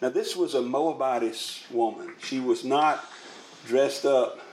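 A man's voice: mid-range voice, unhurried, darkish tone, a little hiss or hum. A man preaching to a congregation in a folksy, conversational storytelling way, repeating phrases for emphasis. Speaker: Primitive Baptist preacher and pastor. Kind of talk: sermon to a congregation. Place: indoors, church meeting room. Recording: roomy, echoing meeting-hall sound.

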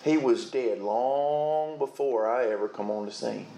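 A man preaching a sermon in a booming voice, folksy and calling out to the congregation. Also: a little slow, dark tone, echoey room. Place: church.

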